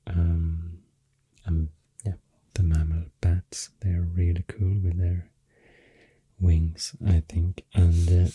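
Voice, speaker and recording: Casting: man, Swedish accent, very dark low voice, soft and breathy, slow halting ASMR ramble, close-miked. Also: dry recording. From Sweden. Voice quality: deep, soft, breathy, half-whispered. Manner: hesitant, slow, halting, self-correcting. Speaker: ASMR artist. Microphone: close microphone.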